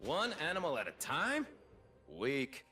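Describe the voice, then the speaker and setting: A flamboyant, vain bird-man warrior from a video game, with a high, slightly rough voice. His pitch swings wildly as he swaggers and mocks, theatrical and playful, over music. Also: huge pitch swings, a little slow, pushed and bright, full character voice. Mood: scornful. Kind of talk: video game character voice lines.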